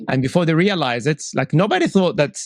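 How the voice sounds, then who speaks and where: A man's voice: a little high, animated, a little fast, darkish tone, no room sound, clean studio sound. A man hosting a podcast interview, speaking bluntly and with animation, often repeating words as he restarts sentences. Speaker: podcast host. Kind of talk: casual interview talk.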